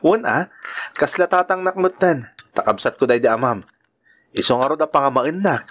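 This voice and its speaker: A man's voice: a little high, animated, normal speed, a bit bright. A man with an Ilocano accent acting a dutiful, kind younger brother and uncle in an audio drama. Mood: warm, affectionate.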